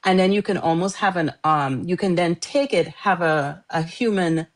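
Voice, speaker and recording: Chatty low-voiced woman who laughs often. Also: moderate pace. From Trinidad. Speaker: guest author. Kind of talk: casual interview chat.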